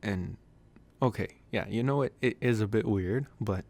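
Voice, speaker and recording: A man playing a young college vampire, possessive yet gentle, in a casual, intimate ASMR roleplay. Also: lowish voice, normal speed, natural voice. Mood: mildly defensive. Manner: casual, soft-spoken banter with sighs and chuckles.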